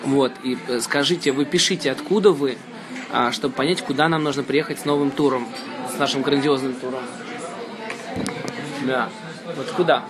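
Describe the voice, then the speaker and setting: A man's voice: medium pitch, steady tone, moderate pace, pauses often. A man, a singer streaming live with his band, chatting casually and teasing them at a table indoors. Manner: joking, teasing, playful. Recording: loud crowd noise behind.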